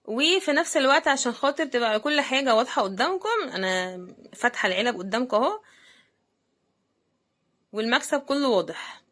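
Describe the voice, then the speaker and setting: A woman with a slightly high voice and an Egyptian Arabic accent hosts an unboxing video. She talks in a casual, chatty way, addressing her viewers directly as she comments on products. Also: lively intonation, brisk, long pauses, fairly bright tone.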